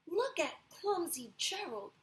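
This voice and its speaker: A woman's voice: extremely high pitch, big pitch swings, takes it slowly, pitched above the performer's own voice, full character voice. A woman voicing mocking jungle animals in a taunting, exaggerated storybook jeer.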